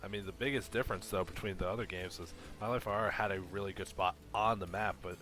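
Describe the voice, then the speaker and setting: A man casting a strategy game, giving steady play-by-play in a relaxed way, from a mic set a little away from him. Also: low voice, quick pace, over music. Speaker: esports caster. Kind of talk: live game commentary. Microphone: desk mic set a bit far away.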